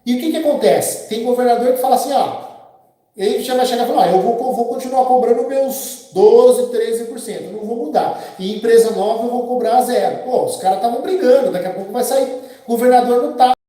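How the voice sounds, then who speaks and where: A man's voice: very high voice, brisk, mellow. A man, hosting a casual live stream and chatting with viewers by name in a rambling, opinionated way. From Brazil. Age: 50s. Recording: very echoey room.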